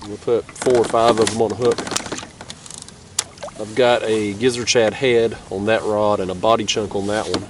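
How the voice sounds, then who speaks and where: A laid-back young man with a stuffy, nasal voice, talking easily in a relaxed drawl. He sits a little back from the camera mic outdoors at night, with a faint hiss of river ambience behind him. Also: fairly low pitch, normal speed, distant mic. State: nasal from a cold. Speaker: fishing vlogger. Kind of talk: casual outdoor vlog narration.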